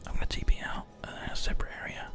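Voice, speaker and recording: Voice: racing, over music. A gaming host whispering ASMR gameplay commentary very close into a binaural microphone. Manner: hushed whispering throughout. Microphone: close binaural microphone, ear to ear.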